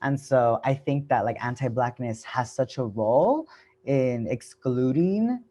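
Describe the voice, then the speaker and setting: A woman's voice: very deep voice, animated, a little slow, mellow. A woman speaking earnestly and emphatically as a panelist on a video call, often adding "right?". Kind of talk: panel discussion.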